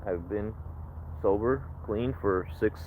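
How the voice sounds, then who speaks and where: A low-voiced man talks in a reflective, rambling way, restarting his sentences often. His voice comes through a tinny, phone-like outdoor recording with a faint hiss behind it. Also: takes it slowly. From Ukraine. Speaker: livestreamer. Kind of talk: casual livestream chat.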